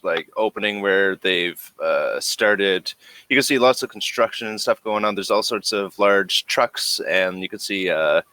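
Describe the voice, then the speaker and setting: Slow-talking, low-voiced man running a game. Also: bright, forceful tone, clear, resonant tone, no room sound. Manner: halting, hesitant, searching for words. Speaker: game master. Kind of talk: improvised tabletop game narration.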